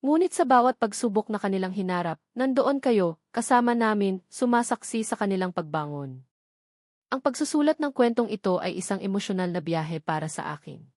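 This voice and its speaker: A woman narrates a dramatic tale with earnest feeling. Her voice sits at a middle pitch, and the recording is close and dry, with no room around it. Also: animated, brisk, a little mellow, no room sound. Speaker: story narrator. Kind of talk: dramatic scripted audio story narration.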